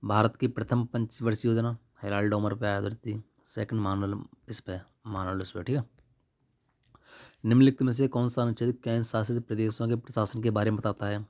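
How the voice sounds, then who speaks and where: A man's voice: lowish voice, flat delivery, a little fast, darkish tone. A young man, informally going through exam questions and answers as a tutor in an offhand, colloquial manner. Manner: offhand, colloquial, addresses viewers as brother. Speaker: exam-prep YouTube tutor.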